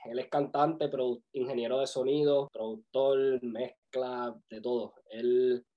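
A man in his twenties with a Puerto Rican accent, chatting casually as a podcast guest and telling his story in a halting, self-correcting way. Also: mid-range voice, fairly even intonation, highs cut off. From Puerto Rico. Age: 20s. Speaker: podcast guest, music videographer. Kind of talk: casual interview chat.

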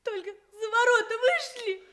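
An actress playing a comically zealous, overwrought junior policewoman in a radio play, squeaking out clipped military phrases. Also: a little slow, a bit bright. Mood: hysterical, wailing.

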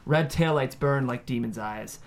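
A man's voice: medium pitch, measured pace. A man reading out the stage directions as narrator at a screenplay table read.

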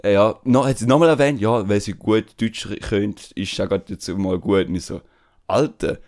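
A young man with a Swiss German accent tells a story on a podcast. His voice sits a little low, and he talks in a relaxed, slangy way with plenty of hesitations. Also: moderate pace. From Switzerland. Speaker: podcast host. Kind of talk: casual chat.

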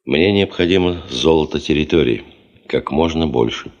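An actor playing a terse, driven expedition chief in a radio drama, husky, measured and authoritative. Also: male voice, a bass voice, muffled recording. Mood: firm, insistent. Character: commanding male boss of a geological expedition, terse, driven. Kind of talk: Soviet radio drama acting.

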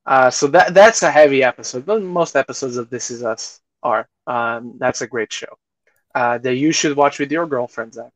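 A man's voice: medium pitch, normal speed, no echo, low-quality recording. A man co-hosting a podcast, chatting casually about movies and television. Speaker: podcast co-host.

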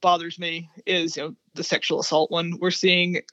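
A woman speaking as a researcher guest in an interview, explaining findings in a measured way. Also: on the low side, level tone, bright, forceful tone, no echo, dull audio.

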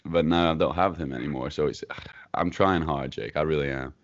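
A young Scottish man co-hosting a podcast, easygoing and teasing, with a gravelly voice. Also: extremely low pitch, a little fast. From Scotland. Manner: easygoing, teasing, laughs often. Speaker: podcast co-host. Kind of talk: casual podcast chat. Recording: dull lo-fi remote call audio.

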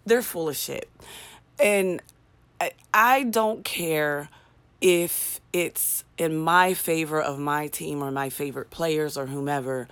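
Woman, low raspy voice, podcast host; casual, candid, ranty, self-deprecating. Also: no room sound. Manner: chatty, candid, ranting with swearing and self-mocking humor. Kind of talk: casual solo podcast monologue.

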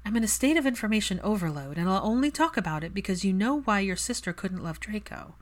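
A guarded young woman, played by a female audiobook reader, earnest and a little hesitant. Her pitch moves freely, giving her a flustered, expressive sound. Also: fast, close to an everyday voice. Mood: troubled.

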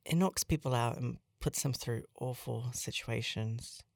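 A woman's voice: very deep voice, unhurried, vocal fry, a little mellow, no echo, studio quality, full character voice. A woman playing a concerned, thoughtful friend in an audio drama, earnest and questioning in manner. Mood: curious.

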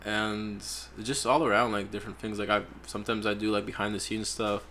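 Chill twenties guy from peru, lowish voice, says like a lot, faint room hum. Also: a little fast. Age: 20s. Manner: relaxed, rambling, many "like" fillers. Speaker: photographer guest. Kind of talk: casual podcast interview.

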